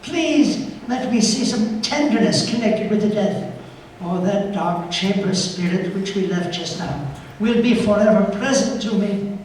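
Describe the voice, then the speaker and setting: An old miser in a stage radio drama, pitched high. He is anguished and theatrical, begging in formal phrases. The voice carries from far off in a big reverberant hall. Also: animated, a little slow, darkish tone. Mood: pleading, distressed. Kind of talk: live stage radio play. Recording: echoey hall from far off, faint noise.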